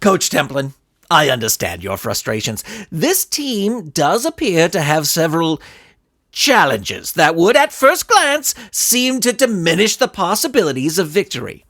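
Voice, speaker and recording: A man voicing a composed, scheming supervillain in a story, speaking in a measured, formal and assured way. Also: a little high, very animated, a bit bright, no echo, clean studio sound, exaggerated voice. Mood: measured, persuasive. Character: scheming, composed, confident male supervillain with glowing skull. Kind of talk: dramatised audiobook reading.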